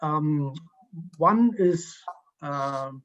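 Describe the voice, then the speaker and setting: A man with a German accent answers questions over a video call, choosing his words slowly and filling pauses with ums and uhs. His voice sits a little high. The call audio is dull and muffled. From East Germany. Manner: halting, many ums and uhs, restarts. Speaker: guest historian.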